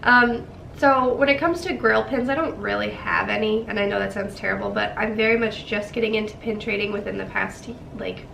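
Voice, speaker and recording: A woman's voice: fairly high pitch, vocal fry, fairly bright tone, echoey room, distant mic, slight background noise, poor audio. A young woman with a stuffy nose from a cold, chatting casually to camera, with lots of ums and likes. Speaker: vlogger.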